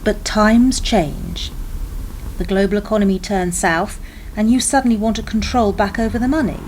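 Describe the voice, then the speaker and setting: A woman's voice: mid-range voice, a little mellow, natural voice. Cool probing female agent, noisy recording woman acting. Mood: cool, accusing. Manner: measured, formal, interrogating. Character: cool, probing female security-service agent, former diplomat. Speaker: actor in a radio play. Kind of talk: radio play acting. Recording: noisy radio drama recording.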